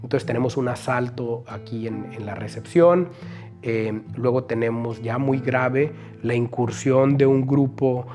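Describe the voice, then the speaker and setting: A Mexican man speaks in a mid-range voice over clearly audible guitar music. He explains in an unscripted, measured interview style, laying out his account point by point and tagging it with short questioning checks. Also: mid-range voice, mellow. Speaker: news organization director giving testimony.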